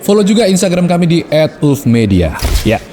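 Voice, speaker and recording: A man's voice: fairly high pitch, very animated, moderate pace, dark tone, a little hiss or hum. A man giving a channel sign-off to viewers.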